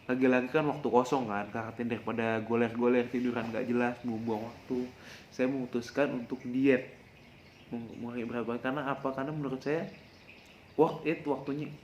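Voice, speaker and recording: A man's voice: medium pitch, fairly even intonation, brisk, a little mellow, dull audio. A young man hosts a casual podcast, sharing tips in a rambling, self-correcting, confessional way and addressing listeners directly. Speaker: podcast host. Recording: echoey room with faint background noise.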